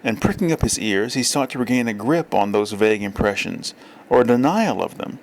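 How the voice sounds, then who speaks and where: Male audiobook reader, medium pitch, literary narration, deliberate phrasing, measured delivery. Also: animated, a little hiss or hum, rough recording.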